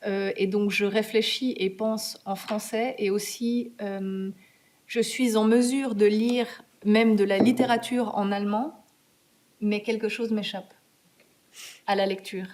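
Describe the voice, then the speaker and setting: A woman's voice: mid-range voice, steady tone, unhurried, a little mellow. A woman, a guest author answering students' questions in a hesitant, casual way, full of fillers and restarts. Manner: hesitant, many fillers and restarts, laughs easily. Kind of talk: conversational Q&A answers. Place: university meeting room.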